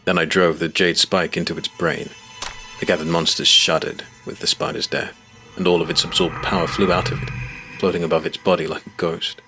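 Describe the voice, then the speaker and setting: Male narrator, low pitch, grave measured delivery, dramatic first-person storytelling, faint music underneath. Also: little pitch movement, pushed and bright.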